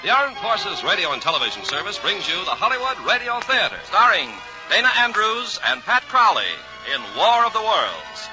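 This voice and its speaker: A man's voice: sits high, big pitch swings, normal speed. A man announcing an old-time radio theater program. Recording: dull vintage radio broadcast. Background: music.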